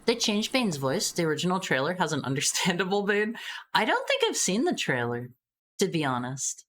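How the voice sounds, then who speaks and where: A woman's voice: lowish voice, wildly swooping pitch, moderate pace, fairly bright tone, slight background noise. A woman streaming a game, chatting casually and calling out short commands.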